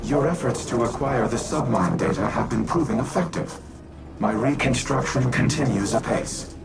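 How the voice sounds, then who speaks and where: A man voicing a proud, coldly resolute artificial war intelligence in a game cutscene, speaking in a formal, measured, grandiose way. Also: mid-range voice, vocal fry, background noise, lightly acted. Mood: menacing, confident. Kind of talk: scripted game cutscene monologue.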